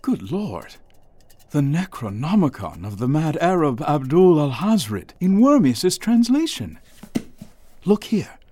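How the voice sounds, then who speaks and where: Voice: mid-range voice, takes it slowly. An actor playing a curious, nervous man who is wondering at strange sights and growing uneasy in a radio drama. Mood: excited. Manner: excitable, wondering, increasingly uneasy. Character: nervous, curious young man exploring the past.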